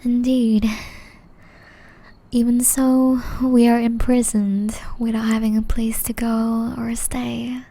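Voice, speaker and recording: A gentle, ageless witch voiced by a woman speaks in a fairly high but soft and mellow voice. She takes her time, often slipping into a breathy whisper. Her manner is caring and tenderly teasing, made for close, intimate ASMR roleplay. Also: little pitch movement, takes it slowly. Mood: wistful, tender. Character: gentle, centuries-old witch, caring, softly chiding healer.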